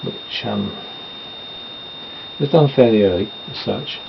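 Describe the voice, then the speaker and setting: Rambling man, gravelly voice. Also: fairly low pitch, animated, slow pace. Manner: chatty, meandering, self-deprecating asides. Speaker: vlogger doing makeup. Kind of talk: rambling casual chat. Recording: dull lo-fi home recording with noise.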